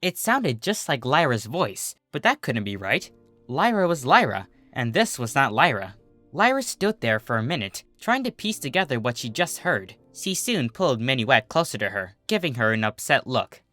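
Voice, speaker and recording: A narrator reading a fan fiction story aloud in an expressive storybook style. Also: medium pitch, normal speed, no room sound, soft background music.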